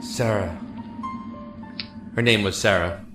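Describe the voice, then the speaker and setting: An actor playing a stubborn, lovesick young prisoner in an audio drama, earnest and pleading, with a stammer at times. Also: male voice, lowish voice, takes it slowly. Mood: earnest, wistful. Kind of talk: audio drama acting with reflective narration. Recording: roomy echo with music underneath.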